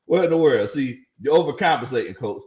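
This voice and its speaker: A man's voice: mid-range voice, lively intonation, a little fast, darkish tone. A man hosting a sports livestream, reading viewer chats in a casual way and laughing often. His voice sounds a little worn out. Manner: laughs often, chuckling through his lines. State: voice slightly worn out. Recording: echoey room.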